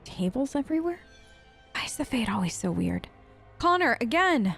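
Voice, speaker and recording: A woman doing chatty, playfully expressive role-play commentary on a video game. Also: a little high, normal speed, creaky, husky. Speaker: let's play streamer. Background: faint game music.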